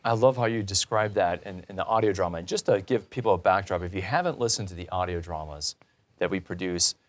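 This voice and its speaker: Male interviewer, fairly low pitch, enthusiastic, emphatic, conversational, affirming. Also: quick pace. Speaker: talk show host.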